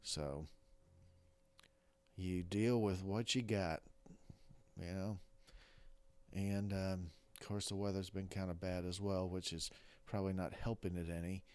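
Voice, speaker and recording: Hoarse, rough-voiced man with very low pitch. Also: steady tone, unhurried, pauses often, darkish tone, no echo. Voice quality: rough-sounding voice. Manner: rambling, hesitant, many restarts. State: hoarse from coughing. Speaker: strategy game video creator. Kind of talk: casual gameplay commentary.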